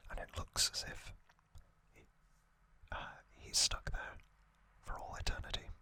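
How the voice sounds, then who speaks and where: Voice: a little slow, sharp tone. An ASMR host whispering a recap in a conversational, slightly hesitant way.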